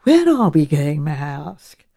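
A woman's voice: low-pitched, very animated, a little slow, vocal fry, mellow, voice pushed down, exaggerated voice. A woman voicing an elderly English lady sleuth in an audiobook, fluttery and polite, speaking in a hesitant, genteel, musing way. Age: older.

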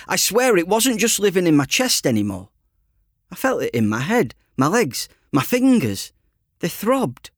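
A man reading an audiobook in the first person as a teenage boy, conversational, self-deprecating and expressive. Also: high voice, no echo, clean studio sound. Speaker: author reading his own audiobook.

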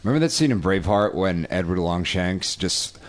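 A man co-hosting a podcast in casual comedic chat, joking crudely and irreverently, with excitable, theatrical retellings. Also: sits low, fairly quick. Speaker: podcast co-host.

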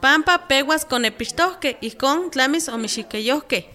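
A panelist speaking up for maternal care in a radio drama. Her delivery is scripted, with a high pitch and a forceful, bright tone. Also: lively intonation, normal speed. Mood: explanatory. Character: woman panelist advocating care for pregnant women.

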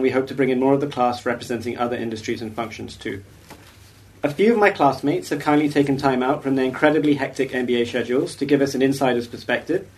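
A man's voice: medium pitch, darkish tone, far from the mic. A young man hosting a podcast, introducing and interviewing guests. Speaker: podcast host, MBA student.

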